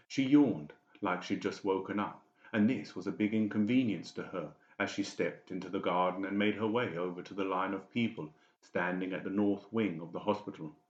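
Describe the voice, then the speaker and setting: A man's voice: low voice, reverberant, muffled recording. A man reading scripted, dramatic narration as an audiobook narrator.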